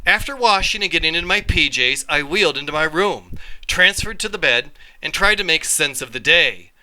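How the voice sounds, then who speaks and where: Very bright-voiced man reading a story aloud. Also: fairly high pitch, rough recording. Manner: animated, dramatic phrasing with pauses. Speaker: read-along storyteller, teacher. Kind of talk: expressive read-aloud of a children's story in first person.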